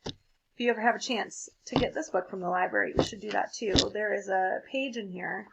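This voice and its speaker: A woman reading a children's picture book aloud in an expressive way, with casual asides to young listeners. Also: medium pitch, normal speed, vocal fry, very noisy. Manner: expressive, child-directed storytelling. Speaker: read-aloud storyteller.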